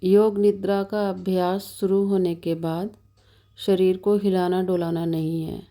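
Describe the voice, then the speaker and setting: A woman leading a guided yoga nidra meditation as the instructor, giving calm, measured, soothing instructions with long pauses between them. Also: on the low side. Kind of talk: slow guided meditation narration.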